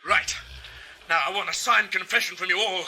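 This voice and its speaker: Man playing deluded corporate bully, audio drama, very forceful bright voice, high pitch, barked orders, pompous. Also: fairly even intonation, moderate pace, a little hiss or hum, heavily acted. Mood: menacing. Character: deluded, paranoid, bullying corporate manager turned self-styled commander. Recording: music behind, 1980s amateur audio drama.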